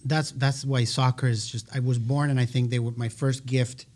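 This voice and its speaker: A man's voice: mid-range voice, level tone, moderate pace, darkish tone. A man from Argentina co-hosting a casual podcast, bantering playfully and laughing easily. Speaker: podcast co-host. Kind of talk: casual chat.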